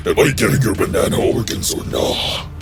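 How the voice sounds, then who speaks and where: A man voicing a huge, demanding alien monster in a cartoon parody, loud and theatrical. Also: on the low side, expressive, normal speed, close to an everyday voice. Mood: indignant. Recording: loud music behind the voice.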